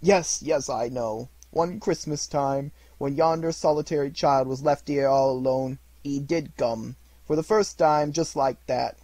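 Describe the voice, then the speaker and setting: A man's voice: medium pitch, a little slow, hardly any pauses, mellow, dry recording. A man voicing an old miser who exclaims between laughing and crying, in a dry recording. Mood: ecstatic.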